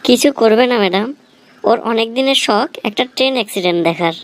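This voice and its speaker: High-voiced deadpan job candidate, played by a woman. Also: fairly quick, faint music behind, lightly acted. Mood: matter-of-fact. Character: cheeky, literal-minded job candidate in a comic cartoon. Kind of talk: cartoon comedy dialogue.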